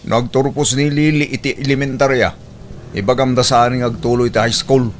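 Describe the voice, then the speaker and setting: An actor as gruff, defensive Filipino husband. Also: male voice, mid-range voice, fairly even intonation, brisk, a little hiss or hum, lightly acted. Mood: dismissive. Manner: argumentative, dismissive. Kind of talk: Ilocano radio drama acting.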